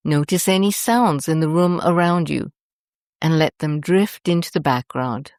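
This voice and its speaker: A woman host narrating a scripted guided meditation in a soothing, deliberate manner, leaving long pauses between short phrases. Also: low-pitched, darkish tone, no echo, clean studio sound.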